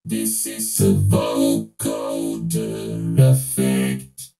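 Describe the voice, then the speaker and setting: A man's voice: extremely low pitch, huge pitch swings, very slow, creaky, over loud music, voice pushed down, heavily acted. A man speaking through a vocoder as a synthetic robot, his words turned into musical synth harmonies. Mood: robotic. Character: synthetic singing robot voice, slow and chordal. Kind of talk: musical effect demonstration.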